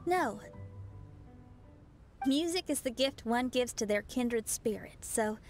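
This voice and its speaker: A woman's voice: fairly high pitch, natural voice. A woman playing an upbeat, confident young rock musician in a video game, sincere and casual. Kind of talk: video game character acting. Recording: faint music behind.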